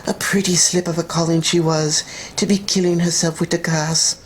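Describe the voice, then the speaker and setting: A woman's voice: lowish voice, steady tone, normal speed, slight background noise, close to an everyday voice. A woman voicing gossipy, deferential housekeepers with a folksy manner in a dramatized story reading. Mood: gossipy, conspiratorial. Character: Irish landlady housekeepers, gossiping, businesslike, flattering older women.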